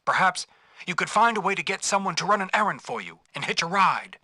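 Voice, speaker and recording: A spy boss in a game, crisp dry sound, really bright voice, all business giving orders. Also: male voice, sits high, big pitch swings, brisk, no echo, heavily acted. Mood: businesslike. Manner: instructive, businesslike. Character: businesslike male spy team leader laying out plans. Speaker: mission planner. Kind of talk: scripted mission briefing.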